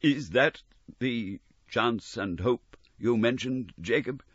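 A man's voice: medium pitch, expressive, a little slow, gravelly, husky, no echo, dull audio, pitched above the performer's own voice, full character voice. A man voicing a frightened, pleading old miser who speaks in a faltering way.

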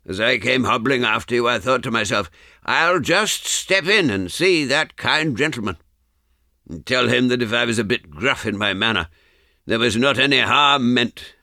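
A man voicing a wizened old book collector in a storybook, croaking, obsequious and rambling in a deferential way. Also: mid-range voice, lively intonation, normal speed, pushed and bright, no echo, clean studio sound, pitched above the performer's own voice. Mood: apologetic, humble.

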